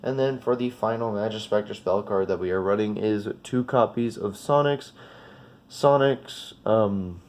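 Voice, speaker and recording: A man's voice: fairly low pitch, a little slow, a little mellow. A man with a slightly sniffly, congested voice gives a casual, unscripted card deck walkthrough in an informal, rambling, self-correcting way. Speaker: trading card video creator.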